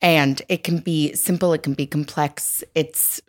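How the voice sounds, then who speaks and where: A woman with a notably low voice speaks as a guest on a podcast. She is a psychotherapist and author who explains her ideas in a thoughtful, articulate way. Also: steady tone, a little slow. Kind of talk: casual interview.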